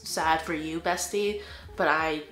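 A woman's voice: sits low, steady tone, slow, fairly bright tone, faint music behind. A young woman talks through her recent reads in an easy, chatty way, and her blocked nose makes her sound nasal. She leans on words like "really, really" for emphasis. The room around her has a noticeable echo. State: stuffy nose, nasal from allergies. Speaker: book vlogger. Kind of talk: casual book review chat. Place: living room with bookshelves, indoors.

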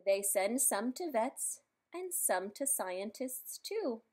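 A woman reads a picture book aloud for small children. Her voice sits fairly high and she takes her time, going very slowly through the words. She is playful and expressive, with simple chatty asides aimed at young listeners. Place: indoors in a room at home.

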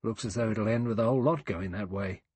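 A man's voice: lowish voice, quick pace, darkish tone, husky, no room sound. A man voicing a blunt, suspicious former police inspector, dry and emphatic, in an audiobook.

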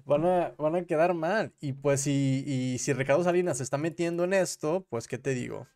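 A man's voice: fairly high pitch, moderate pace, darkish tone. A young Mexican man giving casual livestream commentary in an informal, slangy, rambling way, correcting himself as he goes, close on a desk mic at home. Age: young adult. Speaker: commentary streamer and host. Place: small room at home. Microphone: close desk microphone on a boom arm.

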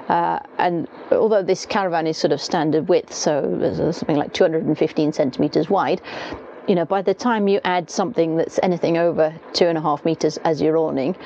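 A woman in her forties talks casually to camera from inside a small caravan. Her voice is low and warm, and she rambles, often stopping to correct herself. The sound is a little dull and lo-fi, with the faint patter of rain on the roof. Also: slight background noise. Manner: chatty, rambling, self-correcting. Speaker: caravanning vlogger. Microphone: camera across the table. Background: rain clattering on the roof.

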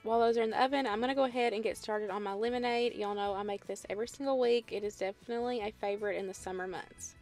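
Chatty Southern woman with gravelly fry. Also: mid-range voice, fairly even intonation, fast, few pauses, darkish tone, soft background music. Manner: chatty, friendly how-to explaining with "y'all" and "um". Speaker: home cooking vlogger. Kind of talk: casual cooking voice-over. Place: home kitchen.

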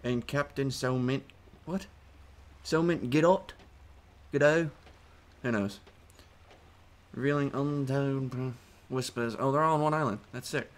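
A man with a put-on British accent, giving casual, excitable commentary over his own gameplay and swearing as he reacts. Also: medium pitch, a little slow. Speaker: gaming channel host.

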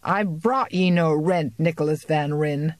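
A reader voicing a defiant male tenant farmer of about thirty who speaks harshly and shouts in anger. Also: mid-range voice, animated, normal speed, no room sound, pitched above the performer's own voice, close to an everyday voice. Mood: furious. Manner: shouting, harsh and rough-spoken.

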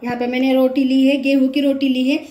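A woman's voice: high voice, level tone, fairly quick, dark tone. A woman hosting a casual home recipe demonstration, explaining each step simply in instructional fashion. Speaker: home cook, cooking show host. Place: home kitchen, indoors. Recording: echoey room.

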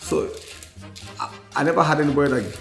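A man's voice: on the high side, fairly quick, a bit bright. A man in his forties with a Nigerian accent tells his personal story candidly on a podcast, reflectively, repeating phrases for emphasis. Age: 40s. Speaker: podcast co-host. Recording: roomy sound with faint music. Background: faint music.

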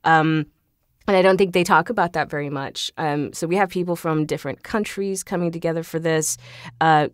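A woman's voice: low-pitched, steady tone, moderate pace, clean tone, dry recording, clean studio sound. A woman voice actor speaking casually as a podcast guest, in an enthusiastic, effusive, giggly way. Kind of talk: casual interview chat.

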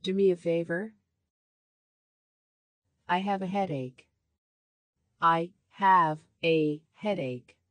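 Woman, very slow, careful enunciation, repeated learner phrases, dry close sound. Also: on the low side, no room sound. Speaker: language lesson voice.

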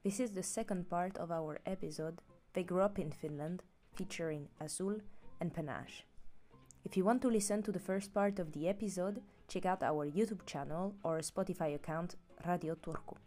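A woman's voice: on the low side, normal speed, warm tone. A woman host reading a scripted welcome intro in a friendly way. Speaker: podcast host.

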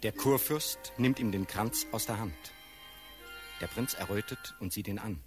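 A man narrating a classical radio drama's stage directions in a sober, measured, scripted way. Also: on the low side, faint music behind, lightly acted. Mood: neutral.

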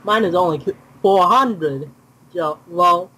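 A man's voice: high-pitched, slow pace, sharp tone, slightly rough, low-quality recording. A young man chatting casually during an online game, often repeating himself and exclaiming. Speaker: gamer.

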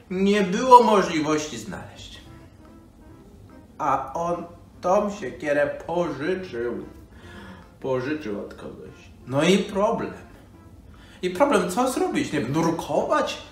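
A man's voice: sits high, exaggerated voice. A man playing a nostalgic old storyteller, rambling folksily to children with hesitations and sighs. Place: living room by a fireplace. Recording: roomy, distant microphone, music behind.

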